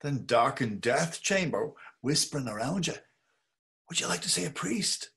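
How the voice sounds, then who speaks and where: A man's voice: a little high, animated, gravelly, fairly bright tone. A middle-aged actor playing a wry, musing Dublin man, reading over a video call. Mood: brooding. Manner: musing inner monologue, slow and measured. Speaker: actor reading a male lead. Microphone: webcam on a video call.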